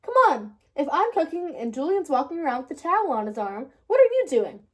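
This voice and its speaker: A woman's voice: high-pitched, very animated, a little fast. A woman voicing a cheeky, blunt little boy in a children's storybook read-aloud. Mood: indignant.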